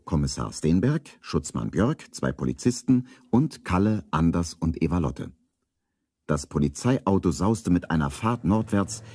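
A man's voice: low-pitched, warm tone, slightly rough, exaggerated voice. A man playing the wry narrator of a children's detective radio play, reading in a storybook style.

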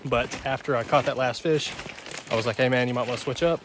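Young male outdoor vlogger, average pitch, laid-back slangy chat, noticeable water noise on the recording. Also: quick pace. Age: young adult. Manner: informal, slangy bro talk. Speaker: fishing vlogger. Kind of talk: casual vlog chat to camera. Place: outdoors beside a creek.

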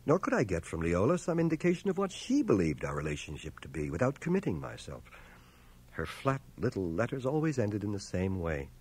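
An actor playing an earnest, reserved young war veteran in a radio drama, measured, hesitant and bookish. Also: male voice, on the low side, huge pitch swings, a little mellow, slightly rough, exaggerated voice. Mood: wry, troubled. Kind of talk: 1980 radio drama acting and first-person narration. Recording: cassette transfer of radio drama, faint music.

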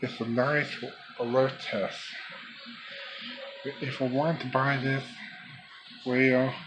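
A man casually showing off gadgets in a halting, repetitive, plain-spoken way, recorded on a handheld camera in a small bedroom. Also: mid-range voice, steady tone. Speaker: vlogger showing a weather radio collection. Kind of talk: casual product showcase. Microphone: handheld camera held by the speaker. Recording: noisy handheld camera audio.

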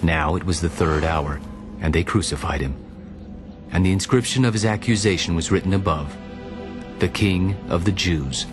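Very deep-voiced male scripture narrator. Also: normal speed. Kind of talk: solemn dramatized scripture narration. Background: music.

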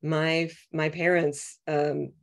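A middle-aged woman poet talking reflectively and haltingly on a video-call panel, repeating words as she thinks. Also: deep voice, little pitch movement. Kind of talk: reflective, unscripted panel talk. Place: small home room on video call. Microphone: video call microphone.

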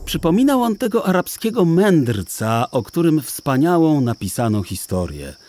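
Male audiobook narrator, children's tale, deliberate pacing, lively melodic delivery, faint noise behind. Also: fairly high pitch. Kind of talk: expressive children's story narration.

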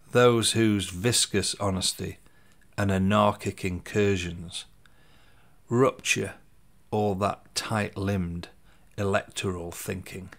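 A man reading his own poems in a measured, deliberate way, pausing between phrases. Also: on the low side. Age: 50s. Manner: deliberate, pausing between short phrases, voice dropping at line ends. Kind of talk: slow, measured poetry reading. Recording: soft music underneath.

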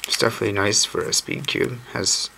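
A hoarse man, recovering from a lost voice, chatting casually and thinking aloud as he hosts a home video. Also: lowish voice, a little fast, poor audio. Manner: relaxed, thinking aloud with mild uncertainty. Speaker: cube channel host. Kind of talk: casual unboxing commentary. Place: indoors at a wooden table. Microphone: camera mic on a tabletop shot of hands. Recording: home camera audio with steady noise.

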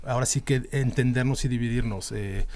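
A man's voice: medium pitch, fairly quick, darkish tone. Middle-aged Mexican man explaining at length. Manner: explains at length with many fillers and restarts. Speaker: band manager, podcast guest. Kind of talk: casual interview talk. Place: small dim podcast studio. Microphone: studio mic on a boom arm.

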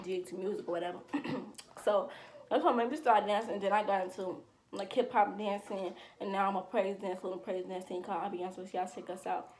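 A woman's voice: mid-range voice, a little hiss or hum. Chatty eighteen-year-old woman vlogger. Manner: chatty, slangy, animated with hand gestures. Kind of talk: casual Q&A chat. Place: small room at home, indoors.

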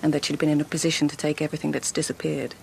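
A woman's voice: low voice, level tone, racing, creaky, darkish tone, a little hiss or hum, poor audio. An actress playing a shrewd, composed lady of the court in a radio drama, formal and direct. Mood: poised, guarded.

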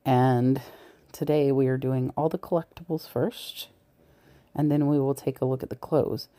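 A woman's voice: a bass voice, steady tone, normal speed, mellow. A woman chatting to viewers as she browses the shelves of a large thrift store, filmed with a body-worn camera, sometimes dropping to a whisper. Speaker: thrift reseller vlogger. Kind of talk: casual shop-with-me narration. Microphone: body-worn camera mic, point of view.

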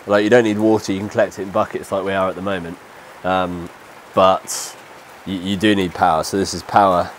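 A man's voice: fairly low pitch, low-quality recording. A man in his thirties with a stuffy nose from a cold, casually explaining a DIY job step by step while he works outdoors. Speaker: DIY vlogger. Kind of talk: casual how-to walkthrough. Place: outdoors under a shelter in the rain. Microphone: lapel mic. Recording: light rain noise behind.

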